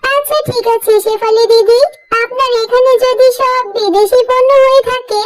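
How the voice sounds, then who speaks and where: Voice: very high-pitched, steady tone, normal speed. An actor voicing a poor, weary but caring mother who scolds and soothes, in a cartoon story with music behind. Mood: caring, firm. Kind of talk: Bengali cartoon story dubbing.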